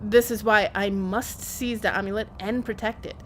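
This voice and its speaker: A woman's voice: mid-range voice, fairly even intonation, moderate pace, a bit bright, slight background noise. A woman game streamer who reads in-game text aloud and adds casual commentary.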